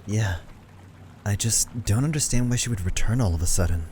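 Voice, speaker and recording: A man acting a protective, suspicious character in an amateur Minecraft roleplay, speaking in a measured, chiding way. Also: fairly low pitch, a little mellow, a little hiss or hum, close to an everyday voice. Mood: pensive.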